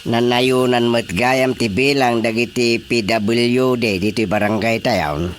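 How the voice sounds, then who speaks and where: A man's voice: mid-range voice, fairly even intonation, slow pace, faint music behind, natural voice. A man playing a chatty, practical village official in a radio drama comedy, talking emphatically. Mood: conversational.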